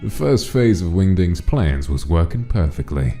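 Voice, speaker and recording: A man's voice: deep voice, very animated, moderate pace, mellow, soft background music. A man performing as the narrator of a comic dub, reading a mafia monster tale as scripted dramatic story narration. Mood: measured, ominous.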